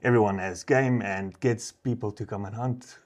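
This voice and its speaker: A man with a South African accent talking casually in an interview as a professional hunter, in plain, slightly halting English. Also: lowish voice, fairly even intonation, a little mellow. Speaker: professional hunter, safari outfitter.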